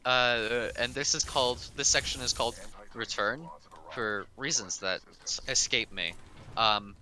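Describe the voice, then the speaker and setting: A man's voice: medium pitch, unhurried, pushed and bright, poor audio. A man co-commentating casually on a live speedrun, with wry, joking banter. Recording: clear background noise, game ambience.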